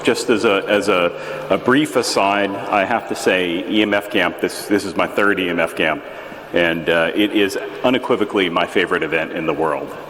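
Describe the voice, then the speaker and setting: A man in his fifties with an American accent, giving a relaxed conference talk with a big booming voice, many fillers and restarts. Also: normal speed, a little hiss or hum. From United States. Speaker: conference presenter. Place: large echoey tent at a festival. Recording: reverberant venue with faint ambience.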